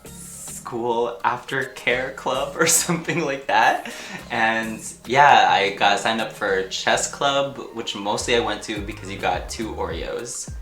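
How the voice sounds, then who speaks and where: An actor and artist speaking casually and haltingly in an interview, telling personal stories with frequent filler words. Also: mid-range voice, a bit bright. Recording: echoey room with music underneath. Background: music.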